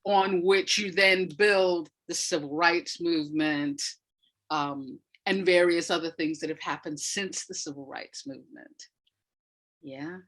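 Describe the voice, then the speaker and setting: A woman's voice: on the low side, a little slow, a bit bright. A woman giving reflective, conversational explanations as an interview guest, thoughtfully searching for her words. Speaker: academic and audio dramatist, interview guest.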